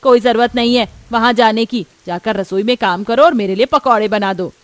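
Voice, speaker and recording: A woman's voice: a little high, normal speed, no echo, natural voice. A woman voicing a stern older mother-in-law in a story, bossy, suspicious and scolding. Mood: angry, commanding. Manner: scolding, commanding.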